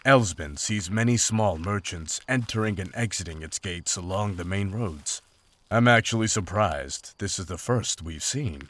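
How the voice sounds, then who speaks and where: A man's voice: deep voice, a little slow, fairly bright tone. A man playing a grave, principled monster hunter in a fantasy visual novel, speaking in terse, formal lines. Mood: grave, measured. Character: stern, principled monster hunter, weary and commanding.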